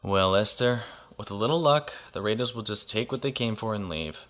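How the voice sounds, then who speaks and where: A man playing a calm, principled naval officer. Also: on the low side, natural voice. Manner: measured, composed.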